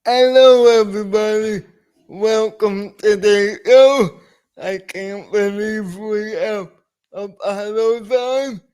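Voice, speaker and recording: A male show host with a high, smooth and clear voice. He speaks very slowly and deliberately as he welcomes a guest and asks questions. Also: darkish tone. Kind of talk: interview hosting.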